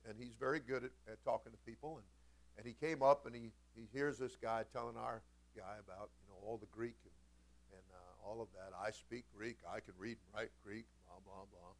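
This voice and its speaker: A man preaching a sermon as a pastor, folksy and informal, moving between conversational storytelling and emphatic, animated delivery. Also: fairly low pitch, moderate pace. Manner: animated, swinging from quiet conversational asides to loud emphatic shouts. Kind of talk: Pentecostal-style sermon with storytelling.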